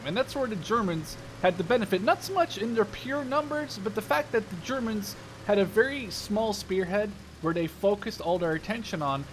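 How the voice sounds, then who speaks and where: A man in his twenties with a rather high-pitched voice commentates a game in a casual, informal way. He rambles and drifts into storytelling asides. Vehicle and game noise runs faintly behind his voice. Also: low-quality recording. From Netherlands. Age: 20s. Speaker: gaming video host.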